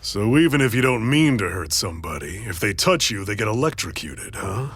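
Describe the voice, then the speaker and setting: An actor playing a gruff, composed resistance leader in a video game, speaking with wry, measured authority. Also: male voice, lowish voice, very animated, fairly bright tone, full character voice. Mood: wry, probing. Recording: background music under voice.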